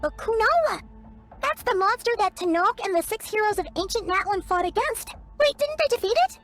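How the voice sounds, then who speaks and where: A woman voicing a tiny, childlike fairy sidekick in a video game, excitable, naive and quick to exclaim. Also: soft background music. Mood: curious. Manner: squeaky, exclamatory, speaks of herself in third person.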